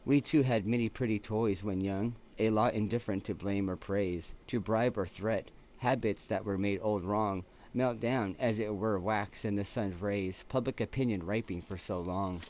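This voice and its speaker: A man reading poetry aloud as an amateur, in a halting way with stumbles and restarts. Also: on the low side, a little mellow. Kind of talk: poetry recitation with casual asides. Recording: noticeable background noise.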